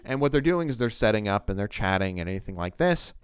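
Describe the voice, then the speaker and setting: A man's voice: on the low side, very animated, fast, smooth, no room sound. A man giving an informal, unscripted explanation as a video essayist, rambling conversationally and speaking straight to the viewer. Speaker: video essayist on fiction writing.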